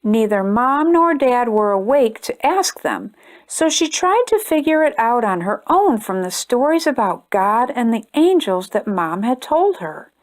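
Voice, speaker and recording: A woman hosting a storytime channel, reading a children's picture book aloud in a gentle, expressive way. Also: a little high, measured pace. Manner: gentle, expressive reading, brighter and chattier when addressing viewers. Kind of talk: read-aloud storytelling for kids.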